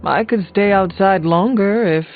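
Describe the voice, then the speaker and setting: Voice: mid-range voice, a little slow, close to an everyday voice. An actor playing a solemn centuries-old demon hunter in a video game, speaking formally and earnestly. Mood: earnest, dry. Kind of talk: video game character dialogue. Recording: muffled lo-fi game audio, music behind.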